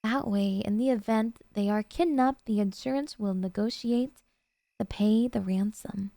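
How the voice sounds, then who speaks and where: Soft, mellow yandere girlfriend, acted by a woman. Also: mid-range voice, a little slow, vocal fry, dry recording. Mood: musing, explaining. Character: sweet, possessive, obsessive young woman kidnapper girlfriend. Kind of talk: ASMR roleplay.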